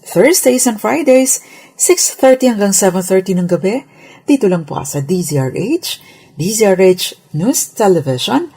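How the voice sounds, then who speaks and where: A woman's voice: medium pitch, darkish tone, husky. A Filipino woman, a lawyer on radio, giving a scripted legal explainer in a formal, polite, explanatory manner.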